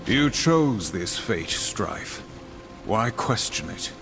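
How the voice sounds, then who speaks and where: A man's voice: fairly low pitch, very animated, slow, creaky, over music, heavily acted. An actor playing a wrathful, duty-bound horseman of the apocalypse in a game, stern, solemn and terse. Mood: stern, threatening. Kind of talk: video game cutscene acting.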